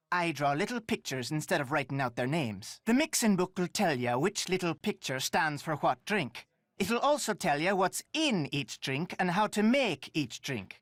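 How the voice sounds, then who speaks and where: An actor playing a brisk, folksy Irish barkeep in a video game, giving polite instructions. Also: male voice, sits high, fairly bright tone, no room sound, studio quality. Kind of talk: video game character dialogue.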